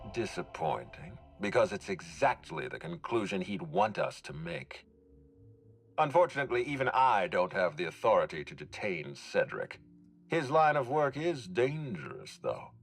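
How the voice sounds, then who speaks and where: An actor playing a stern man of authority in a video game, with a dry, sarcastic, deliberate delivery. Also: low voice, big pitch swings, gravelly, breathy edge, soft background music, heavily acted. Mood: bitter sarcasm. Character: stern, sardonic man of authority overseeing a murder inquiry. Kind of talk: video game character dialogue.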